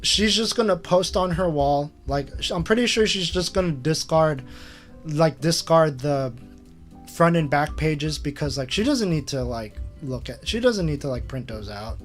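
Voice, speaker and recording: Rambling young-sounding man, higher voice. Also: expressive, normal speed, faint music behind. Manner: rambling, filler-heavy, self-amused. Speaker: channel host. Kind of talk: casual screen-share retrospective.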